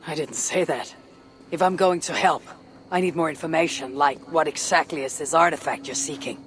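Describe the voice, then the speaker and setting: A woman's voice: deep voice, normal speed, faint music behind, close to an everyday voice. An actress playing a suspicious Viking warrior woman in a video game, with a terse, challenging delivery. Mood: wary, grudging. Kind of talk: video game cutscene dialogue.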